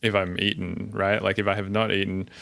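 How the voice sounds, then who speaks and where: A man's voice: sits low, level tone, brisk, gravelly, a bit bright, no room sound. A man hosting a podcast in laid-back casual chat, full of fillers and casual swearing. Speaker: podcast host.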